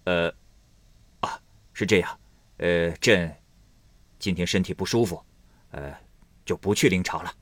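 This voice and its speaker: A man's voice: low voice, unhurried, pauses often, fairly bright tone, no room sound. Man doing a stammering, flustered emperor. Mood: evasive. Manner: hesitant, stammering with fillers. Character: flustered middle-aged emperor caught out, male.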